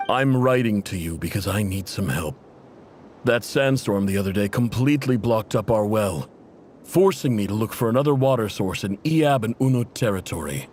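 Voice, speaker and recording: Game cutscene: hopeful struggling tribe chief, played by a man, deep-ish and expressive. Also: slight background noise, lightly acted.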